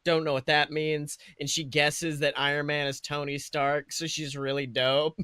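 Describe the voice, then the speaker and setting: A man co-hosting a casual movie review chat, talking in an excitable, slangy way with casual swearing. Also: fairly high pitch, normal speed, smooth, dry recording, clean studio sound. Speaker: podcast co-host.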